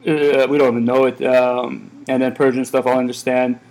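A man in his thirties talks loosely and at length, circling back with many fillers and false starts. He was born in Iran and runs a café, and this is a relaxed, casual interview. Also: medium pitch, normal speed, poor audio. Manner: rambling, many fillers and restarts. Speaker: café owner.

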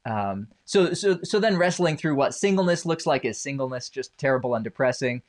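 A man's voice: medium pitch, animated, a little fast. A man who grew up in Indonesia, a podcast guest, telling his personal story with wry, self-deprecating humor. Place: home basement.

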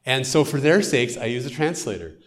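A man's voice: mid-range voice, expressive, a little fast. A middle-aged American man, a missionary, giving an earnest storytelling testimony in church. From United States.

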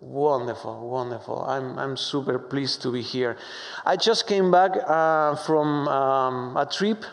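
A man from Argentina with a strong Argentine Spanish accent, preaching a sermon with warm, humorous, halting storytelling full of fillers. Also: medium pitch, creaky. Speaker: pastor. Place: church sanctuary. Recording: long hall reverb.

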